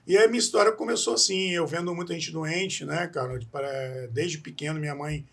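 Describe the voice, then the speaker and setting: Husky higher-voiced man. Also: brisk, fairly bright tone. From Espírito Santo, Brazil. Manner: earnest, rambling, tags many phrases with a questioning aside and restarts often. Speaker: podcast guest. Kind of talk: personal life story in an interview.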